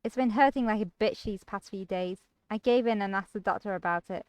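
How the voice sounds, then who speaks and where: A woman's voice: medium pitch, a little fast, a little mellow, clear, resonant tone, no echo, rough recording. A woman streamer reading visual novel narration expressively, with casual asides to viewers. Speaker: game streamer.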